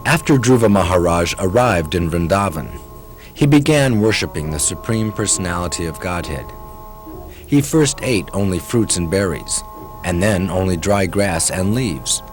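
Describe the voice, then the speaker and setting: A man narrates a devotional storybook tale. His voice is low, and the scripted delivery sits over soft music. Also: animated, moderate pace.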